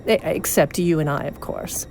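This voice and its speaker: A woman playing a scheming, coolly ruthless otherworldly leader in an audio drama, speaking in a measured, formal, persuasive way. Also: low voice, a little mellow, faint music behind.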